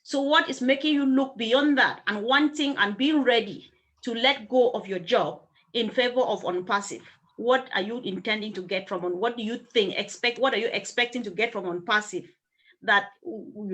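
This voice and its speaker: A woman's voice: mid-range voice, normal speed, a bit bright. A woman interviewing guests in a casual conversation, often stammering and repeating words as she asks questions.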